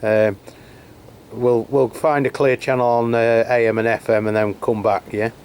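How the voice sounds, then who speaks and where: A man's voice: medium pitch, moderate pace, slight background noise. A man hosting a radio test, chatting casually and hesitantly outdoors in the rain, caught on a camera mic. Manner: relaxed, hesitant, many fillers. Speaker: channel host testing CB radios. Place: outdoors on a rainy hilltop. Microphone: camera mic while holding a handheld radio.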